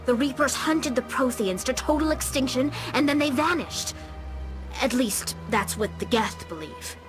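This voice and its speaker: A woman's voice: high-pitched, normal speed, over music, close to an everyday voice. A young actress playing an earnest alien engineer in a video game, patiently explaining her people's ways. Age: young adult.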